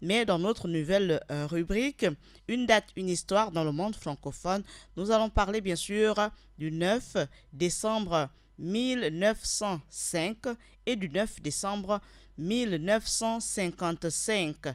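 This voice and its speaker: A woman presents a radio program from the studio. Her delivery is formal and deliberate, the way an announcer speaks. The sound is close and dry, with no room echo. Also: medium pitch. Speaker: radio host.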